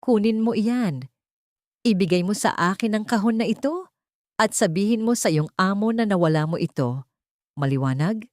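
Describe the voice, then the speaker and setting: A woman's voice: mid-range voice, normal speed, pauses often, darkish tone, dry recording, studio quality, voice pushed up, lightly acted. A woman voicing a proud, authoritative young heiress bent on revenge in an audio drama. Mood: commanding.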